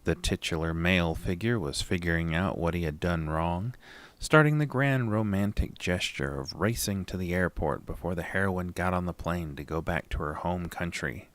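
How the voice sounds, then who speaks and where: A man playing an anxious, haunted narrator in a first-person horror audio drama, deliberate and suspenseful. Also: sits low, no room sound, close to an everyday voice. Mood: easygoing.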